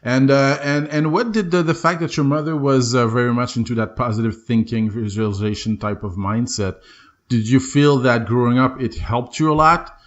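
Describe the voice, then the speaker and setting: A man's voice: mid-range voice, moderate pace, highs cut off. Male podcast host in casual interview.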